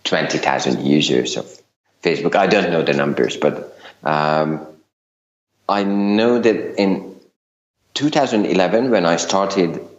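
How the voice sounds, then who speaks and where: Middle-aged Hungarian-accented man, very low voice, unhurried and halting, interview guest. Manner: hesitant, many fillers and restarts. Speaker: guest, LinkedIn consultant. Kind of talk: casual interview talk. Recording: roomy, dull lo-fi recording.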